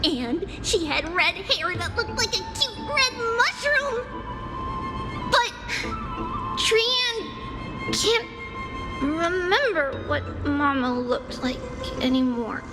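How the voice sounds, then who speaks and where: A woman's voice: very high-pitched, very animated, takes it slowly, long pauses, heavily acted, poor audio. A woman voicing an earnest, sweet little girl in a video game, with a childish singsong way of talking. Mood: wistful. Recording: game music behind.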